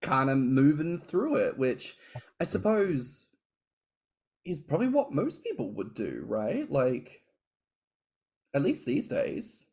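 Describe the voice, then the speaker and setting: A man's voice: fairly high pitch, sing-song, a little mellow. A man hosting a podcast, chatting casually and joking with his guests, often restarting his sentences. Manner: chatty, joking, quick to tease, frequent restarts. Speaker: podcast host.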